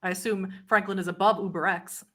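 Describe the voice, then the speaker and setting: A woman's voice: medium pitch, steady tone, a little fast, a bit bright. A woman game master narrating a tabletop roleplay game in a composed, descriptive manner.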